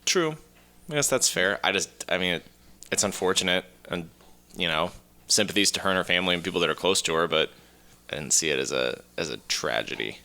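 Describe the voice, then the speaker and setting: A man's voice: low voice, expressive, brisk, vocal fry, pushed and bright. A man co-hosting a podcast with casual banter and a blunt, irreverent manner. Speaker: podcast co-host.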